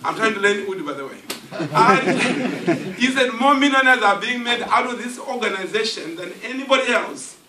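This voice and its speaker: A man's voice: sits high, lively intonation, normal speed, hardly any pauses. A man, jovially giving a motivational testimony to a hotel audience. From Zimbabwe. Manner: jovial, jokes with the crowd. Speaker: guest speaker giving a testimony. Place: meeting room in a hotel. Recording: echoey hall, distant mic, faint noise.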